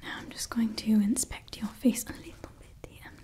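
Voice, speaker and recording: A woman's voice: on the high side, flat delivery, moderate pace, warm tone, natural voice. A woman voicing a doting, sinister female evil scientist in an ASMR roleplay, mixing fawning praise with offhand menacing asides. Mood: clinical. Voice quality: whispered. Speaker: ASMR performer.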